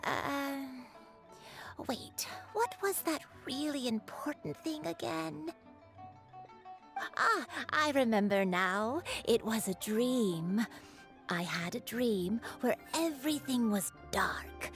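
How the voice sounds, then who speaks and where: Elderly grandmother, game character, gentle, forgetful, lilting high-ish voice, unhurried. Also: expressive, faint music behind, heavily acted. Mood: wistful, musing. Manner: slow, wandering, absent-minded. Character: gentle forgetful old grandmother, dreamy and kindly. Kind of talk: video game voice acting.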